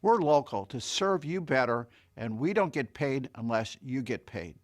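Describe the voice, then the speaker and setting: A man's voice: medium pitch, very animated, normal speed. A man delivering a scripted advertisement as a spokesman. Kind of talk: scripted commercial pitch.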